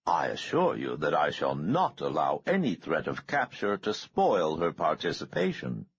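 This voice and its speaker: A man voicing a courtly, smooth-talking male billionaire with an oily, polite manner. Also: a bass voice, wildly swooping pitch, moderate pace, dry recording, lo-fi sound, heavily acted.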